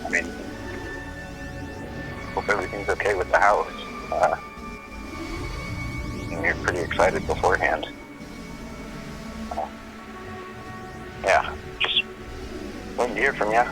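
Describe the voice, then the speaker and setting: An earnest student son played by a young man leaves a casual voicemail in an audio drama. His voice is very low, and he talks in a hesitant, understated way over music. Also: slow pace, pauses often. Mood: concerned. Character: earnest college-student son, mildly concerned.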